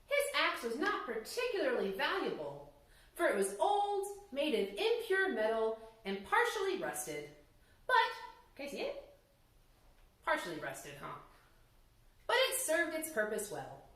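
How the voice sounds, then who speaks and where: A woman narrating a storybook tale as a children's story time host, very expressive, with playful asides to her listeners. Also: high voice, unhurried, long pauses. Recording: very echoey room sound.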